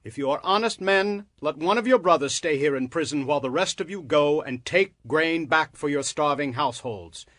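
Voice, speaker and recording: A man's voice: a little high, lively intonation, moderate pace, hardly any pauses, a bit bright, no echo. An actor playing an authoritative governor in his thirties, speaking formally and emphatically in dramatized scripture. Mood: firm. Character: stern, authoritative male governor Joseph, thirties.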